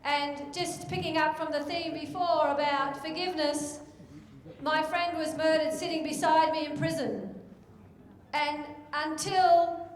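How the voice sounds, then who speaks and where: A woman gives an activist speech at a gathering, with slow, deliberate emphasis. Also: high-pitched, flat delivery. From Australia. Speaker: activist speaker. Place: large event hall. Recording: echoey hall, crowd behind.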